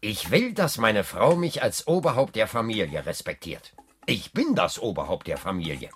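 A man's voice: fairly low pitch, big pitch swings, normal speed, slightly rough. A man playing a confident, earnest young American preacher in a German radio drama, speaking in a self-assured, rhetorical way. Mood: firm.

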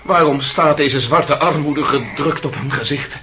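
An actor playing a passionate, proud and anguished defendant in a radio drama, speaking theatrically between hush and outburst. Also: male voice, on the high side, measured pace, lightly acted. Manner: theatrical, swinging from hushed to outburst. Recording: noisy old radio drama recording.

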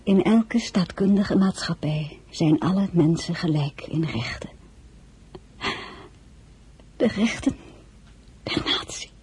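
An actress playing a shrewd, spirited merchant's daughter with a firm, polite shop manner in a radio drama. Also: female voice, on the low side, darkish tone, slightly rough, exaggerated voice. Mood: wistful. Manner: brisk, firm, polite saleswoman.

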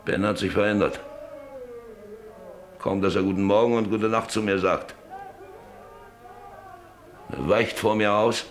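An actor playing a bitter, bedridden middle-aged father in a radio drama, brooding and halting, repeating his phrases. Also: on the low side, steady tone, lightly acted. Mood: bitter, agitated. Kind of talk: German radio drama acting. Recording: hissy old radio drama recording.

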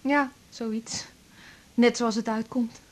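A woman's voice: a little high, steady tone, takes it slowly. An actress playing a seventeen-year-old girl, blunt and offhand, in a naturalistic radio drama. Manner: casual, matter-of-fact.

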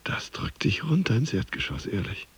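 An actor playing a glum gym-goer consoling himself in a radio drama, confiding and resigned, with a raspy, breathy, creaky voice. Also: male voice, fairly low pitch, wildly swooping pitch, normal speed, whispered. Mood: weary, resigned. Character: glum, self-consoling male gym-goer struggling with his weight. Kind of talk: radio drama interior monologue.

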